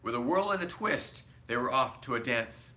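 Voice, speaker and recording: A man's voice: mid-range voice, lively intonation, normal speed, bright, forceful tone. A man reading a storybook aloud to children expressively, with simple asides explaining pictures and words.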